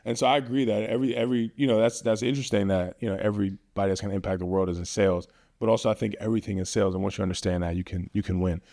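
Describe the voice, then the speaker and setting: Fast-talking casual podcast host, man. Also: on the low side, level tone, dark tone. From Northern Virginia, US. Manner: fast, loose, lots of fillers.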